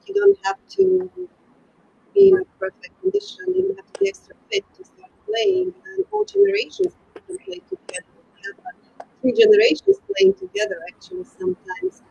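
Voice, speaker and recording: A woman's voice: extremely high pitch, vocal fry, darkish tone, dull audio. A middle-aged woman from Croatia with a Croatian accent, giving conversational answers as an interview guest. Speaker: guest, golf club sales and marketing manager.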